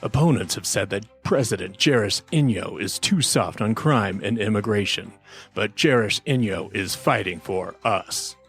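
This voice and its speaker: A man's voice: lowish voice, normal speed, a bit bright, soft background music. A man playing a solemn political ad narrator, reading promotional copy in a parody campaign spot.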